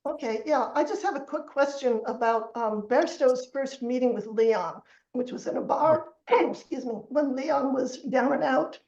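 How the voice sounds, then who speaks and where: A woman's voice: high voice, a little slow, creaky, darkish tone. A woman asking a question as a reader, casually, over a video call. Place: echoey room, video call. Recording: echoey, dull video call audio.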